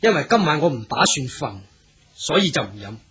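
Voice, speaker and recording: Wary, animated swordsman hero. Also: fairly high pitch, fairly quick, slightly rough, lo-fi sound, heavily acted. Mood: protective. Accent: Hong Kong Cantonese. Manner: earnest, questioning, cautious. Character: wary, upright, protective male swordsman hero travelling incognito. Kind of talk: Cantonese radio drama acting.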